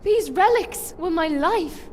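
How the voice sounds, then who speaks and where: A woman's voice: very high-pitched, lively intonation, slow, background noise, full character voice. A woman playing a proud, earnest noblewoman in a dramatic fantasy video game. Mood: sorrowful, resigned. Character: proud, earnest noblewoman defending her city.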